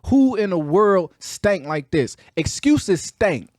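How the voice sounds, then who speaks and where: A man with a Chicago accent and a somewhat high-pitched voice speaks as a motivational podcast host. His delivery is colloquial and emphatic, close to preaching, with repeated phrases driven home. The sound is dry, with very little room echo. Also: sing-song, slow pace, darkish tone. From Chicago, United States. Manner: emphatic, repetitive, colloquial, drawing out key words.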